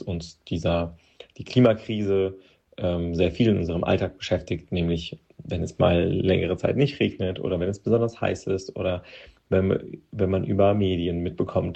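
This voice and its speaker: A man from Moldova whose first language is Russian, an interview guest talking hesitantly with many pauses and restarts. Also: low-pitched, creaky, a little mellow, breathy edge, highs cut off. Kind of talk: personal interview account.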